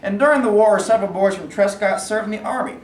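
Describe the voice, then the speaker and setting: Performer acting stubborn Southern man, earnest insistence, mid-pitched, stage reading, roomy and off-mic. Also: fast talker, lightly acted. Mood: persuasive. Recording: echoey room, distant, faint noise.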